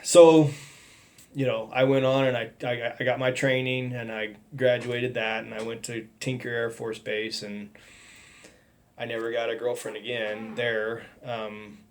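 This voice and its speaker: A man's voice: medium pitch, level tone, slight background noise. Rambling middle-aged man telling his life story. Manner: rambling, halting, many restarts and "you know". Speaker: testimony speaker. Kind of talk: personal testimony monologue.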